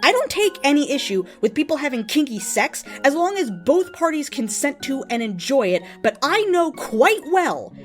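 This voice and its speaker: A woman's voice: high voice, sing-song, normal speed, hardly any pauses, faint music behind. A woman voicing an earnest, lovestruck and easily offended devotee in a dramatic, emphatic reading of an open letter. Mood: indignant. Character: earnest, lovestruck, indignant devotee of a cartoon pony.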